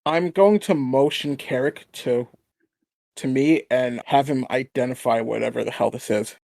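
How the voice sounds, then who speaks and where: A man's voice: mid-range voice, normal speed, creaky, darkish tone, no room sound. A man talking casually as a player at a tabletop role-playing game.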